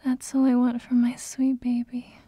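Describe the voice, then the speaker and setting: A woman playing a tender, doting mother in a soft-spoken ASMR roleplay, with a breathy, whispery, soothing voice. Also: a little high, monotone, dry recording. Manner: slow, gentle, soothing. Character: tender doting mother soothing her sleepless loved one.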